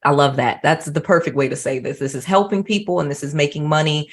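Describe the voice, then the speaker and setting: A woman's voice: low-pitched, fairly even intonation, quick pace. Chatty woman hosting an interview. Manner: conversational, frequent restarts and "you know what I mean". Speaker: host. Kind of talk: casual interview chat.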